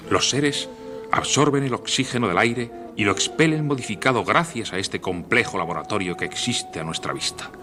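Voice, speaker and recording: An actor playing a sober, learned male surgeon with a measured, didactic manner in a radio play. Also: lowish voice, over music, lightly acted. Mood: measured, explanatory. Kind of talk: radio drama acting.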